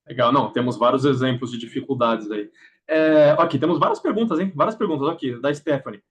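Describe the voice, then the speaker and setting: A man moderating a Q&A session, briskly reading out audience questions. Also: mid-range voice, husky, lo-fi sound. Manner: brisk, reads questions aloud.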